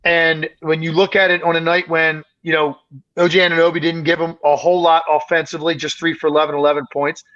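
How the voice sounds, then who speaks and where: Raspy strained guy breaking down the game, emphatic analyst, kinda muffled lo-fi sound. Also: on the high side, flat delivery, quick pace, fairly bright tone. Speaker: basketball analyst and co-host. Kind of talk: casual sports podcast analysis.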